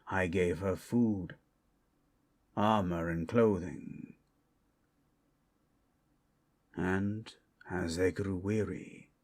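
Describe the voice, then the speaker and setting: A man playing an ancient, solemn, protective dragon guarding its hoard in a dramatic ASMR roleplay, with a husky, breathy delivery and grave, deliberate pauses. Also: low-pitched, exaggerated voice. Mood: tender.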